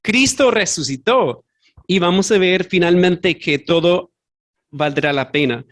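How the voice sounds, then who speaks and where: A male pastor preaching a sermon in a church, his voice sitting high for a man. He is earnest and direct. Spanish is not his first language, so he sometimes stops to hunt for a word and fixes his own slips aloud. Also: lively intonation, unhurried, a bit bright. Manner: earnest, searches for words and corrects himself aloud. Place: church sanctuary.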